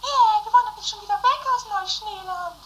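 A woman's voice: fairly quick, highs cut off. A woman playing a theatrical, whiny, easily affronted sister in an improvised comedy radio play. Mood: excited. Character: Mathilde, shrill, indignant, squabbling sister. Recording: noisy low-budget 1980s radio play recording.

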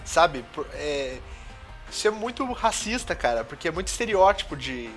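A man's voice: a little high, big pitch swings, normal speed, faint music behind. A man with a Brazilian accent bantering in casual slang as a jokey show co-host. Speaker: podcast co-host.

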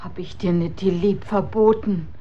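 A woman's voice: lowish voice, steady tone, normal speed, dull audio, a little hiss or hum, natural voice. An actress playing a worried, scolding middle-aged mother of olden times in a radio drama, probing and archaic in her wording. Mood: scolding, alarmed.

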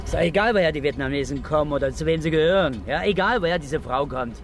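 A man's voice: on the high side, quick pace, noisy background, lightly acted. An actor playing a quarrelsome, agitated village policeman in a radio drama, blunt and argumentative. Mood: heated.

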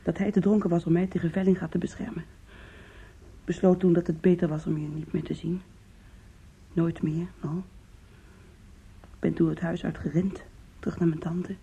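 Husky, dark-voiced anguished young woman. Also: lowish voice, flat delivery, exaggerated voice. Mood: confiding, bitter. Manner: intimate, emotional, halting delivery. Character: anguished young woman confessing her past to her lover. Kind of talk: Dutch radio play acting. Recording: dull, muffled old radio recording.

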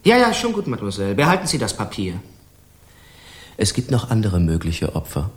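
An actor playing a pompous, suspicious police official in a German radio crime play, officious and quick to take outrage. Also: male voice, fairly low pitch, huge pitch swings. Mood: cold, officious. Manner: officious, stern, spluttering when provoked. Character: pompous, suspicious male Paris police secretary, easily outraged.

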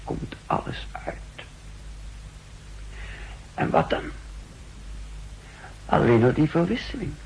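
An actor playing a secretive, calculating male intelligence official in a halting, confidential 1960s radio drama style. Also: fairly high pitch, gravelly, a little mellow, slight background noise, exaggerated voice, low-quality recording. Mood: brooding, muttering.

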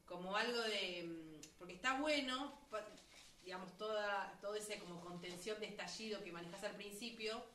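Echoey, bright-voiced Argentine woman coaching actors. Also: medium pitch, normal speed, distant mic. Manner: thinks aloud, demonstrates lines. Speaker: radio drama workshop coach. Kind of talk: informal directing and feedback. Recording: echoey room, off-mic, faint noise.

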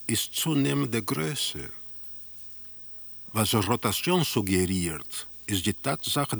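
Slow, creaky, detached male observer. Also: lowish voice, fairly bright tone, heavily acted. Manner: measured, detached, deliberate. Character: detached male observer slowly describing surreal scenes. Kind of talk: scripted radio drama narration.

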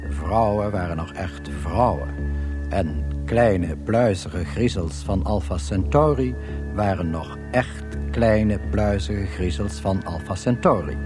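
A deadpan galactic guidebook narrator. His lowish voice tells the tale with mock grandeur, scripted radio comedy style, with music playing clearly underneath.

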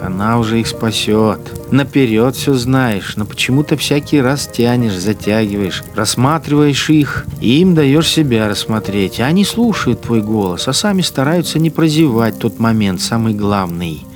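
A man's voice: on the low side, natural voice. An actor playing a cruel, mocking collaborator policeman in a radio drama, speaking his inner monologue as he toys with his victims. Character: cruel, sneering wartime collaborator policeman with machine gun. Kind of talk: dramatic first-person interior monologue.

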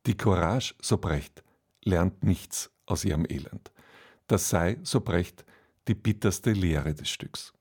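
Low, slightly husky male radio presenter. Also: slow pace, darkish tone. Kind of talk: scripted introduction to a radio play.

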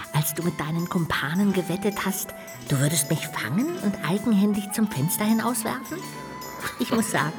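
A woman playing a small, cheeky, cheerful ghost with playful, courteous phrasing in a children's radio play. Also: medium pitch.

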